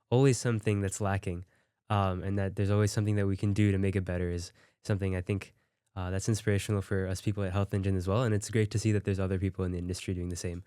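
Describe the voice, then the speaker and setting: A young male interviewer with a low, smooth voice asks questions in a friendly, eager way, stumbling over fillers. The sound is dry and close. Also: level tone, fast, warm tone, no echo. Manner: friendly, filler-heavy, enthusiastic. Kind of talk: casual interview.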